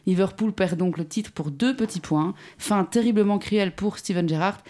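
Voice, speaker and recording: A woman's voice: mid-range voice, normal speed, darkish tone. A woman with a Belgian French accent reading her football column on a radio show, wry with teasing asides.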